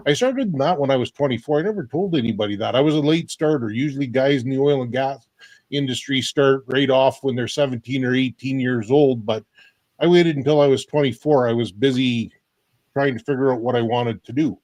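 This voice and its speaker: A man in his forties from Canada, telling his story on a live online panel in a candid, rambling way with halting restarts. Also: mid-range voice, a little mellow, no room sound.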